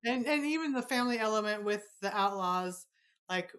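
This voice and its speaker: A woman's voice: medium pitch, lively intonation, normal speed, fairly bright tone, a little hiss or hum. A woman hosting a casual, chatty interview in a gushing, informal, enthusiastic manner. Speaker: podcast host interviewing an author.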